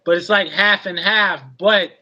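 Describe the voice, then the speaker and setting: An easygoing man in his forties talks with a high, bright voice over a dull, lo-fi video call. He drifts through his thoughts and keeps adding "you know what I mean" to them. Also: fairly even intonation, a little slow. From Queens, New York. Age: 40s. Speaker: guest. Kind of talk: casual chat.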